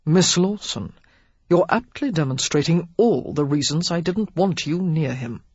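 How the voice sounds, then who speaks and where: A woman reading the lines of a stern, dry young aristocrat who speaks gruffly. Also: low-pitched, lively intonation, unhurried, a little mellow, no echo, lo-fi sound, heavily acted.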